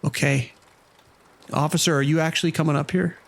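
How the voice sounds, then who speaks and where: An actor playing a worried, protective casino manager, speaking urgently in a horror audio drama phone call. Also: male voice, fairly high pitch, fairly even intonation, a little fast, gravelly. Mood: uneasy. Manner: urgent, concerned.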